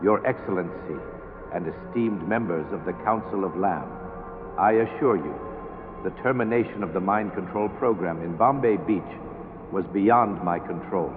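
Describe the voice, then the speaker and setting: A man's voice: low-pitched, moderate pace, over music, lightly acted. A man playing a cold, menacing commandant. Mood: reporting. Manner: cold, calm, threatening. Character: menacing male government commandant villain. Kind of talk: radio drama acting. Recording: tinny lo-fi with noise.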